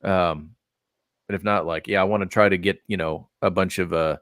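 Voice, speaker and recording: Male podcast host from Texas, low-ish voice, loose and rambling delivery, dry close sound. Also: steady tone, moderate pace, fairly bright tone, no room sound. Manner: rambling, many fillers and restarts. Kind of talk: casual chat about wrestling and gaming.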